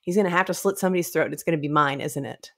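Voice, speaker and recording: A woman in her thirties talks through a story in a casual, conversational way. Her voice sits a little low. She speaks into a close microphone as a co-host and sometimes acts out what characters say. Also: racing, fairly bright tone. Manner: informal, acting out characters' lines. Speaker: podcast co-host. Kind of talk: casual discussion of a novel. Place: small indoor room, remote video call. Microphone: close studio microphone.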